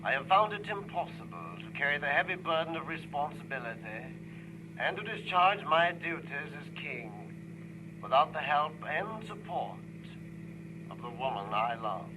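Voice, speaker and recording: Through a noisy, humming old radio comes a man as a British king. His fairly high voice is solemn and measured, in a formal 1930s address. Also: lively intonation. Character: solemn abdicating British king giving a broadcast.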